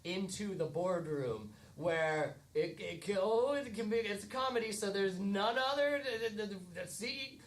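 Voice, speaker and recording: A man narrating improvised comic scenes on stage in a halting, mock-cinematic way. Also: sits high. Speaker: improv comedy performer and narrator. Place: theatre stage, live show. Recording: echoey theatre, faint noise.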